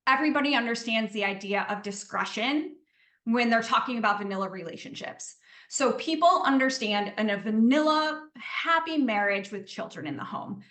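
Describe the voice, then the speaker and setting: A woman's voice: fairly high pitch, sharp tone, echoey room. A woman, a podcast guest, talking at length in a casual interview. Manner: talkative, explains at length.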